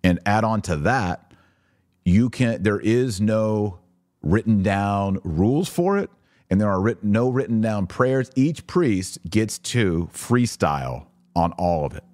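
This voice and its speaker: A man with a low-pitched voice hosts a live podcast, captured close and dry with very little room sound. He moves between reading listener comments and commenting on them in an informal, emphatic way. His delivery is plainly opinionated. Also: lively intonation, takes it slowly, a little mellow, dry recording. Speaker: podcast host.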